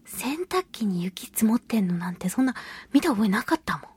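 A woman hosting a radio talk show on her own, chatting casually and telling stories in an animated, giggly, colloquial way. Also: mid-range voice, a little fast, husky, no echo. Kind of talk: casual solo radio chat.

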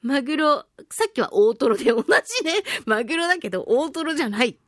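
A woman's high voice with very lively, swooping intonation, chatting casually as a radio host. She spins a personal anecdote playfully and poking fun at herself, in a close, dry recording. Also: fairly quick, no room sound. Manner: animated, chatty storyteller with playful self-mockery. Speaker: host of a talk radio show, voice actress.

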